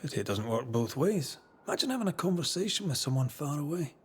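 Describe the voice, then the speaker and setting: An actor playing a devoted, protective ghost hunter in a video game, speaking in a measured, reassuring, naturalistic way. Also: male voice, fairly high pitch, sing-song, a little hiss or hum. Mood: puzzled.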